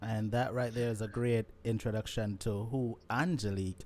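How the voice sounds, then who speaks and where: A man's voice: on the low side, level tone, normal speed, dark tone, a little hiss or hum. A Jamaican man hosting a live show, encouraging and building things up like a showman. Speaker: host.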